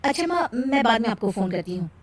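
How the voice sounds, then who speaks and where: A woman puts on a young working daughter-in-law for a cartoon moral tale. Her voice is high and emphatic, with a huffy, defensive, harried edge. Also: sing-song, fast. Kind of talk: cartoon moral story acting.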